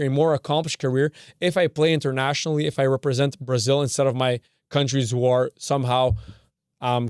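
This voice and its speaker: A man's voice: mid-range voice, darkish tone, smooth, dry recording, clean studio sound. A young man from Canada whose first language is Serbian, hosting a podcast in a rambling way, often correcting himself and swearing casually. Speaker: podcast host. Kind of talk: casual football talk.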